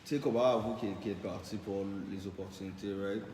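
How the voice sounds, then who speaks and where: A man's voice: on the low side, steady tone, fairly quick, darkish tone, roomy sound, long reverb tail, distant mic, slight background noise. A man with a Quebec French accent, easygoing in a casual podcast chat, switching between French and English. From Montreal, Quebec. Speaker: podcast co-host.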